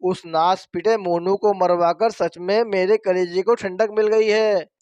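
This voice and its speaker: A man's voice: high voice, steady tone, a little slow, darkish tone, no room sound, muffled recording. A man playing a treacherous, cowardly gangster in a dramatized moral story. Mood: smug, gloating. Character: gloating, treacherous gangster turned cowardly pleader.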